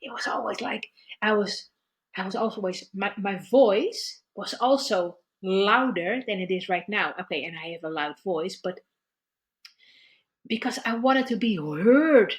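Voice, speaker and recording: A middle-aged woman telling her own story at an even, mid-range pitch. She speaks haltingly, circling back and repeating words as she feels her way toward what she means. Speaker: guest therapist. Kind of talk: personal story in conversation.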